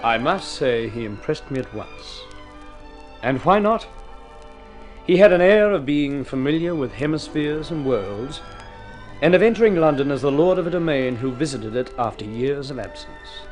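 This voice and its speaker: Pompous, animated gentleman played by a man. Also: medium pitch, normal speed, soft background music, natural voice. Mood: reflective narration. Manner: pompous, wordy, precise. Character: urbane, talkative gentleman narrator, fussy intellectual. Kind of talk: mid-century radio drama acting. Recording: vintage radio drama recording.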